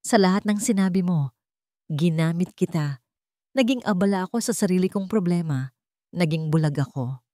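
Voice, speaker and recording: A woman's voice: lowish voice, animated, moderate pace, pauses often, mellow, no echo, studio quality, lightly acted. A woman playing a weary, wounded but determined young billionaire in a romantic drama story. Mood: weary regret.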